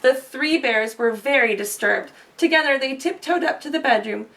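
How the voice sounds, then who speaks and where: A woman's voice: sits high, normal speed, a bit bright, recorded from a distance, a little hiss or hum. A woman reading a children's story aloud with little asides, recorded a bit away from the mic. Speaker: storybook reader.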